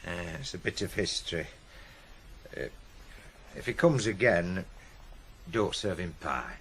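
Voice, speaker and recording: Gruff, guarded Northern father, widely varied pitch. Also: expressive, fairly bright tone, slight background noise, full character voice. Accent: Northern English. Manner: gruff, halting, evasive. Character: guarded, curt working-class father, middle-aged. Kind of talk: 1980s British radio drama acting.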